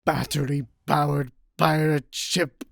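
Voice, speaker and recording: Eccentric, oddly spoken doctor sidekick. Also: male voice, on the high side, little pitch movement, gravelly, full character voice. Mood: earnest nonsense. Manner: slow, odd, garbled dialect. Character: eccentric dapper doctor sidekick uttering nonsense. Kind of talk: comic sci-fi radio play acting.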